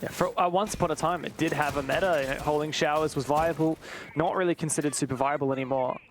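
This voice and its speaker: A man's voice: fairly high pitch, fairly even intonation, fast talker, slight background noise. A man commentating live on an esports match, mixing play-by-play with analysis.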